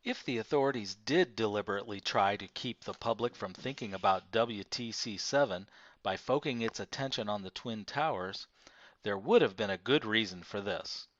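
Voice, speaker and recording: A man's voice: on the low side, normal speed, no room sound, highs cut off. A man narrating nonfiction as an audiobook reader, reading from a prepared text.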